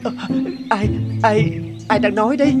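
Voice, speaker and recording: A man's voice: high-pitched, normal speed, lightly acted. A man voicing a poor young villager in a fairy-tale cartoon, anxious, easily startled and stammering. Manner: exclamatory, stammering. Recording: loud music under the voice.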